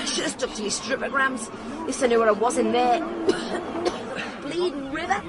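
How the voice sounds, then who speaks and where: An English actress playing a cheeky, grumbling, streetwise escort in a radio drama, sardonic and colloquial. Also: female voice, high-pitched, expressive, unhurried, a loud crowd, very noisy. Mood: indignant, cheeky. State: coughing, chesty after a soaking. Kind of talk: BBC radio drama acting.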